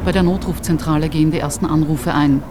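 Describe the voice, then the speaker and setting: A man's voice: on the high side, fairly even intonation, fast, natural voice. A man delivering a news report about an emergency within a radio drama. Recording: clear noise of emergency sirens. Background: sirens, vehicles.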